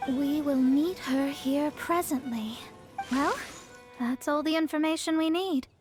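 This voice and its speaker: A woman's voice: high voice, measured pace, darkish tone. A woman playing a haughty, self-assured young astrologer in an anime game, speaking in formal, grandiose phrases. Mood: confident, lofty. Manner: formal, grandiose, theatrical wording. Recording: background music under the voice.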